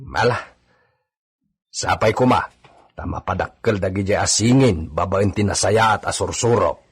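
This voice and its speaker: An actor playing a grave countryman in an Ilocano radio drama, with a very rough, husky voice. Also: deep voice, moderate pace, full character voice. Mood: musing.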